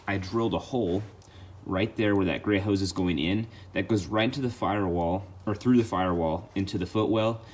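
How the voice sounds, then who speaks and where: A man's voice: lowish voice, a little hiss or hum. A man hosting a do-it-yourself video, talking through a job step by step in a casual, plain-spoken, informal way. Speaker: DIY video host. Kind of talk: casual how-to walkthrough.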